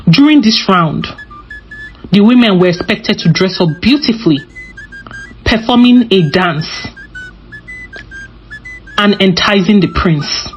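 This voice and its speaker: A woman's voice: medium pitch, lively intonation, slow, pauses often. A woman narrating a scripted folktale as a storyteller. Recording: slightly dull recording with music behind. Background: music.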